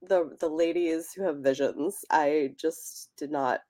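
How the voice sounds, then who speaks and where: A woman's voice: sits low, slow pace, dark tone. A woman co-hosting a podcast, discussing books casually in a tentative, meandering, conversational way. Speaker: podcast co-host.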